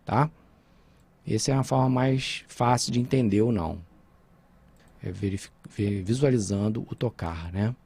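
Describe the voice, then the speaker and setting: A man's voice: fairly low pitch, measured pace, vocal fry, pauses often, darkish tone, breathy edge. A man with a Brazilian Portuguese accent teaching a programming lesson over a screen recording, talking informally and checking in with his students.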